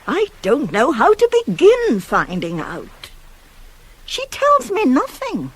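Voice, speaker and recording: A woman's voice: high-pitched, wildly swooping pitch, heavily acted. An actress playing a devoted, worldly-wise older nurse to a queen in a radio Greek tragedy, theatrical and insistent. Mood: grave, worried. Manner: theatrical, insistent, measured, weighty phrasing. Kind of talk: 1980s radio drama acting of Greek tragedy.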